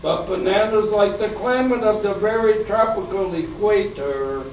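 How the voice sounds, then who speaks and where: Man as high singing banana mascot, very echoey. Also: measured pace, darkish tone, a little hiss or hum. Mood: cheerful. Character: singing cheerful banana mascot in sponsor jingle. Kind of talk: sung commercial jingle in amateur radio play. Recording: very echoey, distant, muffled stage recording.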